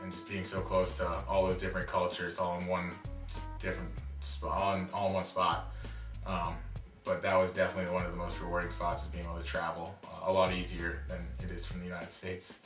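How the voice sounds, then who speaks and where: Young man, very deep voice, monotone, relaxed, distant echoey sound. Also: fairly quick. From Underhill, Vermont. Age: young adult. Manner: relaxed and rambling, with "uh" and "um" fillers. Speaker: college student. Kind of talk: casual interview testimony. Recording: distant mic in an echoey room with faint music.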